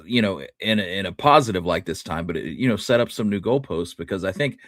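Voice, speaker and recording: Low-voiced, measured man, dry close sound. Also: animated, fairly bright tone, no echo. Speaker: podcast co-host. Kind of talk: casual history discussion.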